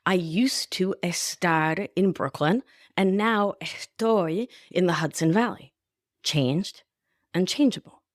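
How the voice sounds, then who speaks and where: A woman's voice: mid-range voice, no echo, clean studio sound. A woman from Argentina, a life coach hosting her own podcast, teaching in a playful, affectionate way. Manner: playful, affectionate, laughs often.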